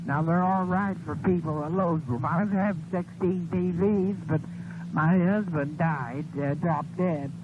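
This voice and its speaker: A woman's voice: on the low side, a little slow. Older woman, folksy rambling radio caller, rural phrasing, narrow telephone audio with hiss and hum. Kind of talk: casual personal phone chat. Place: on a telephone line. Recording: noisy, tinny phone call on 1970s radio.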